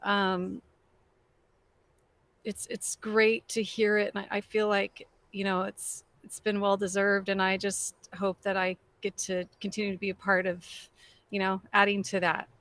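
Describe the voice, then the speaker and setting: A woman band member answering interview questions in a halting way, with many fillers. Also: mid-range voice, flat delivery, dry recording. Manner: warm, halting, full of fillers.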